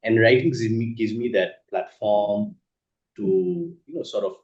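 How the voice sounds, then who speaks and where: Man, Indian accent, lowish voice, thoughtful measured interview answers, mid-sentence pauses, roomy echo. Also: lively intonation, a little mellow. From Assam, northeast India. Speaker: author being interviewed. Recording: echoey room, dull muffled sound.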